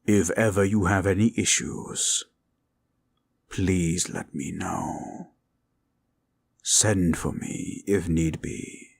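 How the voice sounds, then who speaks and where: Man acting as a protective dragon, very deep, heavy fry, unhurried, solemn, formal, gentle, roleplay audio. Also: fairly even intonation, full character voice. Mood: tender. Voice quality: deep, gravelly. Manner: formal, slow, tender, solemn.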